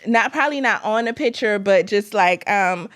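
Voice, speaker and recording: A woman, co-hosting a podcast and telling animated stories between bursts of laughter. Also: medium pitch, normal speed. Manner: animated storytelling, laughs often. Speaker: podcast co-host. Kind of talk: casual chat.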